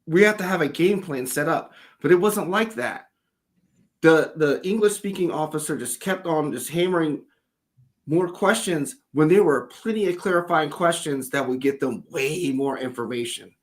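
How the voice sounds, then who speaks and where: Animated man telling a story on a livestream. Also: on the high side, moderate pace. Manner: animated, loose storytelling with profanity. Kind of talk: casual livestream chat.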